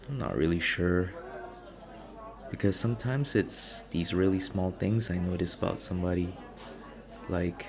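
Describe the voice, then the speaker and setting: A man playing a shy, socially anxious, gentle young stranger in an ASMR roleplay, speaking hesitantly and rambling in an earnest, self-conscious way. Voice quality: low, gravelly with vocal fry. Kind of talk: ASMR boyfriend audio roleplay, intimate naturalistic acting.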